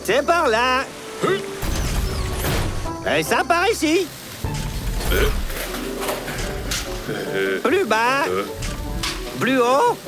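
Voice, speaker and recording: A man voicing a self-important, excitable flying dinosaur superhero in a French-dubbed cartoon, bossy and full of himself. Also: very high voice, very slow, full character voice. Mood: bossy, eager. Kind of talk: animated cartoon dub acting. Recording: music behind the dialogue.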